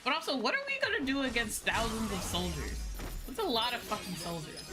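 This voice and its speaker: A young woman chatting casually on a game livestream, rambling, expressive and informal, swearing freely. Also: fairly high pitch, brisk. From outside Japan. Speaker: VTuber game streamer. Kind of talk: casual livestream chat over a video game. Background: game music.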